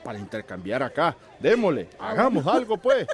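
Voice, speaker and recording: A man's voice: fairly high pitch, wildly swooping pitch, normal speed. A man acting a hearty, jovial old farmer, set in his ways, in a radio drama. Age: older.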